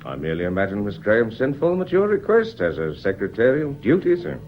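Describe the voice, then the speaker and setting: An actor playing a British police inspector in a radio drama, deceptively polite, sly and probing, with a deferential, falsely casual manner. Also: male voice, lowish voice, huge pitch swings, fast talker, dull audio. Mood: insinuating, polite.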